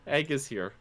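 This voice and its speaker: A man's voice: mid-range voice, fairly bright tone. A man in his twenties, a podcast guest and streamer, chatting casually over an online call and laughing often. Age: 20s. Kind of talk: casual chat.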